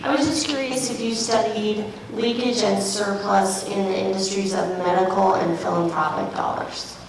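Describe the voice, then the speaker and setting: A woman's voice: mid-range voice, fairly even intonation, normal speed, creaky. Audience woman in a crowded echoing hall. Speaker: audience member asking a question. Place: public forum hall. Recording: echoey hall, far from mic, crowd noise.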